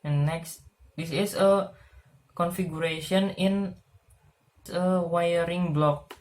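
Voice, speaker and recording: A woman with a low voice walks through her project slides slowly and carefully. Her English is non-native, with broken grammar, and she often stops on fillers while she finds the next term. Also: fairly even intonation, darkish tone. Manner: halting, with many pauses and fillers. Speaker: student presenting a project. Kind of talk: slide presentation of a technical project.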